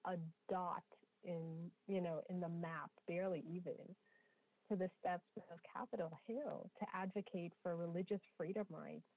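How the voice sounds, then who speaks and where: Tinny phone-line woman guest, lowish voice. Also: no room sound. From Trinidad and Tobago. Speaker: podcast guest, pharmacist. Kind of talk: conversational personal storytelling.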